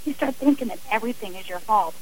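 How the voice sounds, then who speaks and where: A woman's voice: mid-range voice, fast talker, a little mellow, rough recording. Earnest, emphatic woman guest. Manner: earnest and emphatic, repeating phrases for stress. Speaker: author and interview guest. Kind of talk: radio interview.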